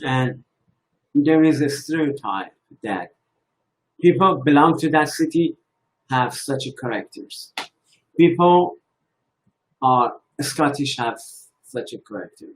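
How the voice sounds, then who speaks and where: Slow, halting man. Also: fairly high pitch, animated, poor audio. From Iran. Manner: slow, halting, thinking aloud. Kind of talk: reflective religious talk.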